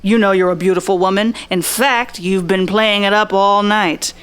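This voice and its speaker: A woman's voice: medium pitch, fairly even intonation, moderate pace, fairly bright tone, smooth, natural voice. Noir audio drama private eye, female performer: clean resonant voice, blunt, observant, slangy, tough. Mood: probing, blunt. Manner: tough, slangy, plain-spoken. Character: blunt, observant hardboiled 1940s private eye Pal Vargas. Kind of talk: noir radio drama acting with inner monologue.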